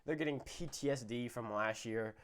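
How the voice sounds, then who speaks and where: A man's voice: mid-range voice, moderate pace, slight background noise. A young man, a little sick, giving casual football predictions with lots of fillers and self-corrections. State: slightly sick, voice a bit off. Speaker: sports video host.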